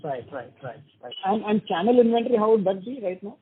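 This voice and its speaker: Higher-pitched man, noisy phone line. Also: very animated, fast. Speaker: financial analyst asking questions. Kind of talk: polite questioning with quick acknowledgements.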